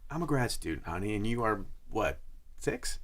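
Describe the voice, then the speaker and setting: Cocky, patronizing young grad student. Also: male voice, fairly low pitch, sing-song, normal speed, a bit bright. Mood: mocking, condescending. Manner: flippant, patronizing. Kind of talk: audio drama acting.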